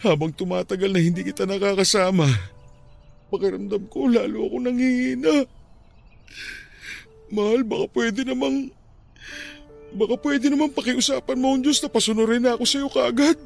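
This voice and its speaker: A man performs a heartbroken father mourning his wife, in a tearful radio-drama voice that cracks with grief. The voice sits high and grainy, with faint music running under it. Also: fairly even intonation, moderate pace, creaky, long pauses. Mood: longing. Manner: tearful, pleading, voice breaking with grief. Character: grieving widowed father, middle-aged, heartbroken and tender.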